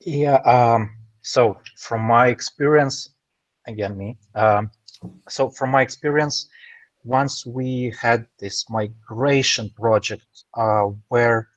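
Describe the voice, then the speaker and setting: A man's voice: medium pitch, takes it slowly, dry recording. Hesitant man on a dull dry call line. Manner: hesitant, many fillers, explanatory. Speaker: roundtable participant. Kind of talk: casual online roundtable chat. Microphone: video call.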